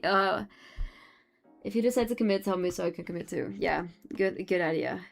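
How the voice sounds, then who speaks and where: A young Dutch woman chats with her live stream audience, her English colored by a Dutch accent. She talks loosely, giggling and trailing off mid-thought. Soft music plays faintly behind her. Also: on the low side, moderate pace, creaky. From Netherlands. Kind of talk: casual chat with chat while drawing.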